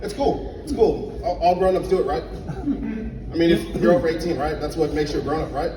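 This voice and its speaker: A young man from Liberia performing a stand-up comedy set in a club, telling animated stories and acting out both sides of the dialogue. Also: on the high side, normal speed, background noise. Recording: echoey club sound from a distance. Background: audience laughter.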